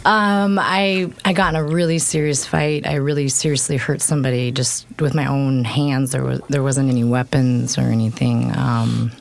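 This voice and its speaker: Female guest, 30s, low voice, frank and reflective, filler-heavy. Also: steady tone, normal speed, vocal fry, few pauses. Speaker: guest, pole dance school founder. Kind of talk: candid interview talk.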